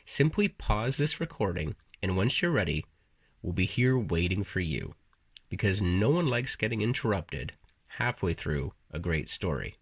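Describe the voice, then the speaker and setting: A man narrating a guided meditation as a story, with deliberate, soothing, hypnotic delivery and storyteller flourishes. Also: low-pitched, big pitch swings, husky, dry recording.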